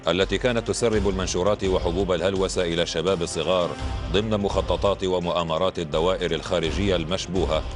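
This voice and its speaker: A man with a very deep voice reads formal television news narration from a script. His delivery is fast, and music plays clearly behind him. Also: steady tone. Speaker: state television news announcer.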